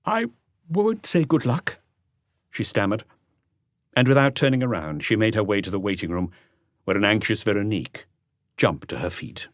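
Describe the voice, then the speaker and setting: Low-voiced man narrating, very dry sound. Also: brisk, long pauses, dry recording. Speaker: audiobook narrator. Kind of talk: scripted narration of a mystery novel.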